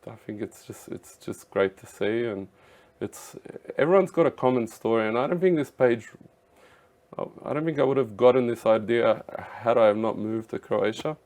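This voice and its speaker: A man's voice: medium pitch, moderate pace, a little mellow. A man in his thirties from Canada, a podcast guest talking earnestly in casual chat, with many filler words. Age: 30s. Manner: earnest, lots of "you know" and "um" fillers.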